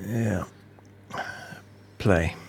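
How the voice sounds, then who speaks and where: An actor playing a cautious, laconic surveillance officer with a gravelly voice in an audio drama. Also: male voice, fairly low pitch, very slow, a little hiss or hum. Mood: measured.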